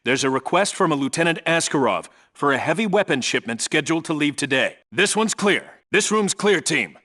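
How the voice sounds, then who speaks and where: Forceful, clipped Navy SEAL leader. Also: male voice, on the high side, animated, fairly quick. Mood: focused, businesslike. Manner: clipped, businesslike, authoritative. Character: no-nonsense male Navy SEAL team leader. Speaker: video game voice actor. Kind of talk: military radio reports and squad orders.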